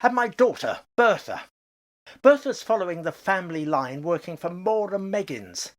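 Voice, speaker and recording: An elderly, blustery father, acted by a man in a radio play, with wide swings of pitch. He is by turns grumbling and hospitable, full of exclamations. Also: very animated, normal speed. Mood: jovial, hospitable.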